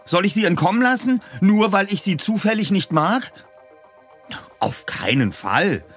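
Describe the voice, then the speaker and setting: An actor playing an earnest, eager young detective in radio play dialogue. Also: male voice, high voice, very animated, measured pace, fairly bright tone, breathy edge, faint music behind, heavily acted. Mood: earnest, urgent.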